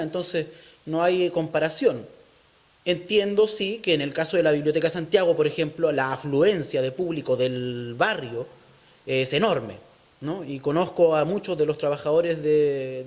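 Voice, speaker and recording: A man with a Chilean accent and a notably high voice speaks as an interviewee. He lays out his opinions in an explanatory, thoughtful way, often ending a point with a short questioning tag. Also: darkish tone. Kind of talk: thoughtful interview talk on local culture.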